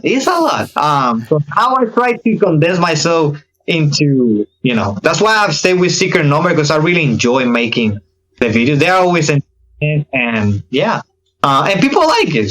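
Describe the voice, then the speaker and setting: Animated man with a higher-pitched voice. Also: poor audio. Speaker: podcast guest, K-pop reaction video creator. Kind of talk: casual podcast chat.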